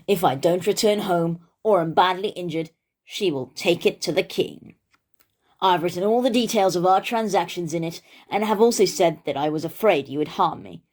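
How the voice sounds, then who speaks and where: A woman voicing a shrewd, quick-thinking male village moneylender in a children's storybook. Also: fairly low pitch, darkish tone, close to an everyday voice. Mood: fearful, bluffing.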